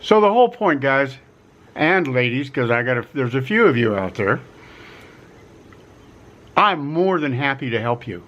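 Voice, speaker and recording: A middle-aged man talks straight to the camera in a folksy, repetitive way, leaning hard on key words. His voice sits at an ordinary middle pitch. The recording is a little dull and lo-fi. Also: sing-song, a little slow, pauses often, fairly bright tone. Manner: folksy, repeats himself, emphatic rises on key words. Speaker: vlogger offering relocation advice. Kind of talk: casual to-camera vlog pitch. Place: quiet rural home.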